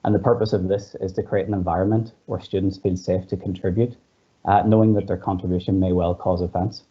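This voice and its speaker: A man's voice: sits low, level tone, brisk, husky, muffled recording. A man, a teacher, speaking earnestly on a panel, often starting with "uh". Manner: earnest, with frequent "uh" fillers. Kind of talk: panel contribution.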